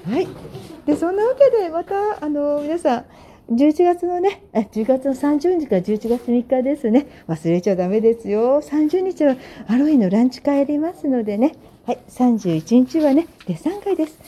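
A woman's voice: high voice, animated, moderate pace, dark tone. A woman hosting a casual show, chatting effusively and politely in a rambling, unscripted way. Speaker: host of an audio app show; visual artist and designer. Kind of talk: casual unscripted chat with guests and announcements. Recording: crowd chatter behind, live on the spot.

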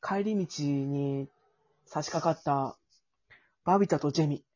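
A woman with a slightly husky voice hosts in a casual, chatty way. She lays out an improvised skit and narrates it. The audio is a little dull and lo-fi. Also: sits low, a little slow, a little mellow. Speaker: host and narrator.

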